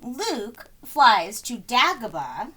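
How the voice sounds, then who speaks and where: A woman reading a children's story aloud in an expressive, dramatic way, with deliberate phrasing and stressed words. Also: on the high side, breathy edge. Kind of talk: expressive scripted read-aloud narration.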